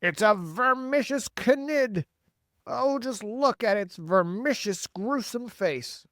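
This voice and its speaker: A man's voice: extremely high pitch, lively intonation, takes it slowly, no echo, clean studio sound, voice pushed up, full character voice. A man voicing a blustering, alarmist male chief of police in a storybook, bossy and exclamatory. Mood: alarmed. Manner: loud, bossy, panicky exclamations. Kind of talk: storybook character acting.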